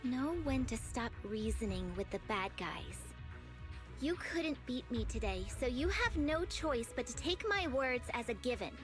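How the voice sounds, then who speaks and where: A woman's voice: a little high, sing-song, measured pace, music in the background. A woman voicing an earnest, righteous young tiger girl disciple in an anime-style game. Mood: solemn, resolute. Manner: earnest, declarative, heroic.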